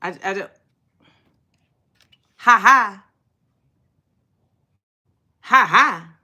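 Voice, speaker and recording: A woman's voice: medium pitch, extremely slow, muffled recording. A woman in her forties hosting a casual livestream, chatty and sassy, talking straight to viewers. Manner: chatty, sassy, animated, reads and answers viewer comments. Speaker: livestream host.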